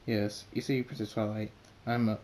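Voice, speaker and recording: A man voicing a calm, mysterious male pegasus demon who speaks politely and softly. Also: on the low side, normal speed, a little hiss or hum, lightly acted. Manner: calm, polite, soft-spoken.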